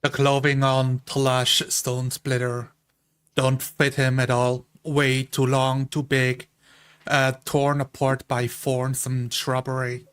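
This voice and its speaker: A man playing a tabletop game, describing his character in a deliberate, understated way. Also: medium pitch, monotone, a bit bright, clear, resonant tone.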